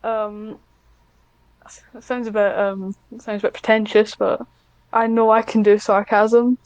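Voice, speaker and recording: A woman's voice: mid-range voice, little pitch movement, measured pace, dry recording, dull audio. A woman chatting casually as a podcast guest, hesitant and self-deprecating, with a dry sarcastic streak. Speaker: podcast guest, ASMR script writer. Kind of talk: casual interview chat.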